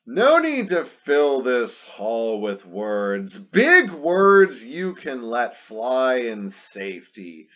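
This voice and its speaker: A man's voice: fairly high pitch, fairly bright tone, exaggerated voice. A man playing a proud, scornful young warrior prince in a dramatic epic reading, declamatory and contemptuous, on a muffled recording. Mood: scornful sarcasm.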